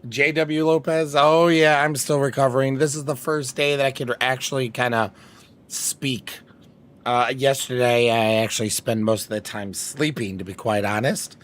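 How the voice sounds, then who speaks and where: A man hosting a live stream in a casual chatty way, his voice raspy as he recovers from a long trip. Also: medium pitch, fairly even intonation, normal speed, fairly bright tone. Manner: theatrical greeting, then rambling chatty asides. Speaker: streamer host.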